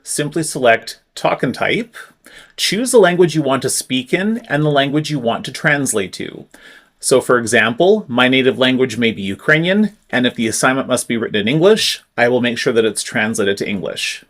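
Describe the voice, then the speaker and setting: Male tutorial presenter, mid-pitched voice, clear instructive delivery at a desk mic. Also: a bit bright. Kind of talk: screen-recorded software tutorial.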